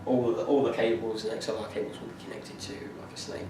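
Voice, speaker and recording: A young man giving an informal class presentation, talking in a halting way with many fillers and restarts. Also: fairly low pitch, little pitch movement. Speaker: music student presenting a performance plan. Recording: echoey room from far off, noisy.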